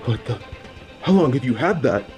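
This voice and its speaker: A man's voice: medium pitch, sing-song, moderate pace, lightly acted. A man playing an indignant villain in an audio drama, sputtering and incredulous, with music behind the voice. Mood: flustered, bitter. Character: indignant, flustered male villain caught by a heroine. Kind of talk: audio roleplay drama acting.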